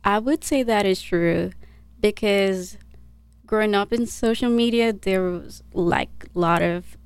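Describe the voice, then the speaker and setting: Polite young woman from Nepal, soft-spoken, resonant and clear, dry recording. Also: medium pitch, a little slow, no echo. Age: 20s. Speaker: Air Force radiology tech. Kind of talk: interview answers.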